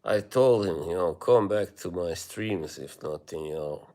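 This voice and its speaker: A man from Bosnia with a Bosnian accent, chatting casually with viewers on a live stream, rambling and halting with many fillers. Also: low-pitched, animated, mellow.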